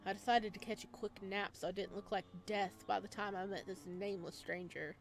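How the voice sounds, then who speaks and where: A woman's voice: medium pitch, steady tone, a little fast, creaky. A woman hosting a gaming video, reading a visual novel aloud and adding casual, slangy asides. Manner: informal, slangy, reacts in asides.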